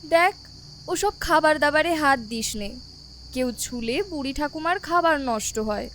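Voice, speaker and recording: A woman playing a kindly but bossy older grandmotherly figure in an audio story, with a drawn-out, coaxing delivery. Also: high voice, animated, fairly bright tone, a little hiss or hum. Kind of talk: dramatized audio story acting.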